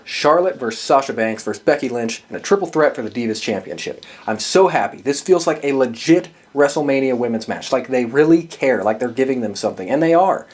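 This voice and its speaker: A man hosting a podcast, giving casual, opinionated commentary in an informal, emphatic fan's way with some swearing. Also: mid-range voice. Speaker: podcast host giving wrestling predictions.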